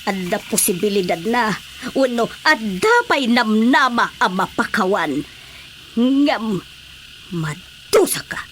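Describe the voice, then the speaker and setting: A woman's voice: on the high side, sing-song, slow pace, a bit bright, a little hiss or hum, full character voice. An actress playing a stern old grandmother frog who passes solemn judgement in a Filipino radio drama. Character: stern old grandmother of all frogs, mystical forest judge.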